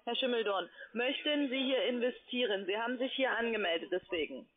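A woman's voice: on the high side, a little fast. A woman speaking in a curt, impatient way as a call center agent on a phone call from an open-plan office. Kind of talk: phone sales call turning into argument. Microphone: telephone.

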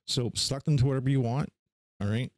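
A man with a mid-pitched voice walks through setup steps like a casual tutorial host. His delivery is informal and instructional, moving step by step. The sound is dry and close to the microphone. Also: fairly even intonation, quick pace, dry recording. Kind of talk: casual unscripted screen-share software walkthrough.

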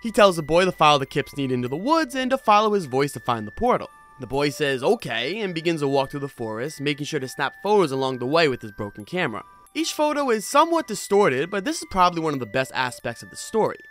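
A man's voice: on the high side, big pitch swings, fast talker, fairly bright tone, faint music behind. A man hosting a video review, giving scripted commentary in an informal storytelling manner. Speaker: host of a horror video review channel. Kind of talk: scripted commentary with casual asides.

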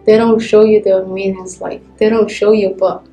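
A teenage girl, an immigrant student speaking slowly and carefully in a documentary interview. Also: medium pitch, little pitch movement, dark tone. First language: Somali. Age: teens. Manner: slow, careful. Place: classroom. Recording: echoey classroom, faint music.

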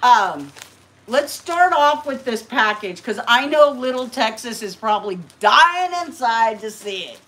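A woman's voice: a little high, animated, measured pace, fairly bright tone. A middle-aged woman hosting a casual livestream from her living room, chatty and rambling, often laughing. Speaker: livestream host. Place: living room, green party decorations.

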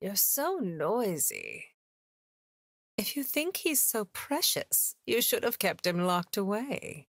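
A woman's voice: medium pitch, very animated, slow pace, dry recording, clean studio sound, full character voice. A woman playing a cold, towering veteran cave raider in an anime game, languid and dismissive, with music behind her. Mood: cold contempt. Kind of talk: anime game dub acting. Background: background music.

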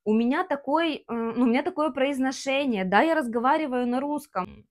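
A woman's voice: high-pitched, fairly quick. A young Ukrainian woman in her twenties, a vlogger, talking frankly to the camera in her kitchen on a lapel mic, with a Ukrainian accent and lots of fillers. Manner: frank, ranting and gesturing, many fillers. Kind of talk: casual talk to camera. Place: home kitchen, indoors.

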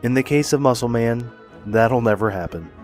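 A man with a cold that makes his voice deeper reads out a spoof research piece in a flat, mock-serious way. Soft music runs faintly beneath his voice. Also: moderate pace. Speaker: narrator of his own theory video. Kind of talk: deadpan mock-research narration.

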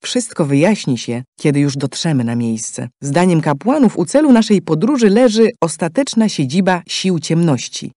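A man's voice: fairly high pitch, animated, normal speed, hardly any pauses, mellow, dry recording, clean studio sound. A man giving scripted, dramatic narration for a game story in a solemn, declamatory manner.

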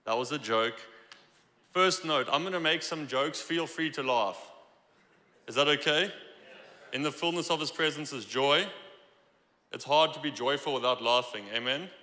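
A young man with a South African accent delivers a lively, joking sermon from a church stage. His voice sits high and bright. The handheld mic picks him up with a long hall reverb behind. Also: normal speed, pauses often. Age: young adult. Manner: joking, animated, gestures freely while preaching. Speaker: guest preacher. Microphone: handheld microphone.

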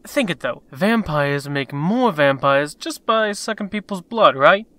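A man's voice: a little high, animated, a little slow, pushed and bright. A man voicing a cocky, impatient, wisecracking rogue in a comic dub, with a brash, slangy way of talking. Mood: cocky, scheming.

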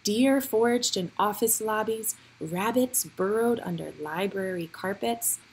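Female storybook reader, medium pitch, slightly breathy husky tone, expressive read-aloud for young children. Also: unhurried, low-quality recording.

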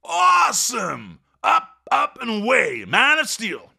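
A man putting on a loud, cocky young daredevil who whoops his lines in a high, bouncing voice that swings wildly up and down. He sounds breezy and oblivious, all slang and swagger, with a bright, edgy tone and a little gravel underneath. It is a storybook reading. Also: sing-song, fairly quick, full character voice. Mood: brash. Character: brash cocky young male thrill-seeker, boastful and oblivious.

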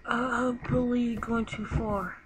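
A woman improvising a dramatic video game roleplay with halting delivery. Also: a little high, steady tone. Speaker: roleplay video creator voicing the characters. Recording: clearly noisy home recording with clicks.

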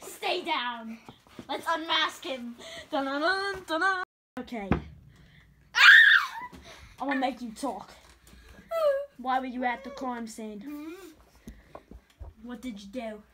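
A woman's voice: high voice, slow pace, long pauses. A young woman co-hosting a playfully melodramatic, improvised mock-horror skit on a handheld camera. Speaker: vlog co-host. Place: dim carpeted home basement. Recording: noisy handheld camera in basement.

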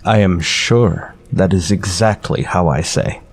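Man acting as stoic western rescuer, low slow voice, terse broken sentences, radio drama. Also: slightly rough, exaggerated voice. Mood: calm, stoic. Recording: long cavernous reverb.

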